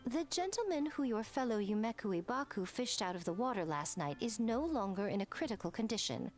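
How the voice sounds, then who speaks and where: A sly, haughty shrine priestess with a highish, very lively pitch. She drawls her game dialogue with arch, teasing condescension. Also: fairly quick. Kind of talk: scripted video game dialogue. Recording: game music behind the voice.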